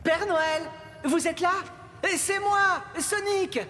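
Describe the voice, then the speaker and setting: Young, breezy, confident hedgehog hero for cartoon dub, high-pitched, over prominent music. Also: male voice, takes it slowly. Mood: friendly, relieved. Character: cocky, heroic young male cartoon hedgehog.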